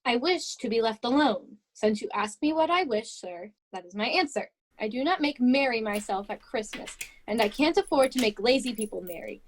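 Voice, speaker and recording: A high-voiced, miserly older woman boss played by a woman in an amateur radio play. She is cold and grumpy, snapping curtly and scornfully at everyone. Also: animated, normal speed, hardly any pauses, no echo. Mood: cold, dismissive.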